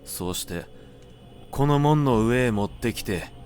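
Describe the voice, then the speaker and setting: A man narrating a literary audiobook in a measured, deliberate manner with dramatic pauses. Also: mid-range voice, animated, darkish tone, faint music behind. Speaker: narrator reading literature aloud.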